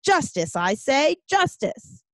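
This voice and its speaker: A woman's voice: medium pitch, animated, fairly quick, dry recording. A woman voicing a brash, melodramatic seventh-grade boy who gripes theatrically in slangy audiobook dialogue. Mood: aggrieved, theatrical.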